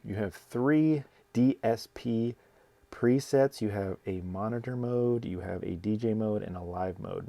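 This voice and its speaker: A man's voice: lowish voice, gravelly, mellow, no echo. A man giving a casual, informal product demonstration as a reviewer, explaining things step by step and repeating phrases. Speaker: equipment reviewer and host.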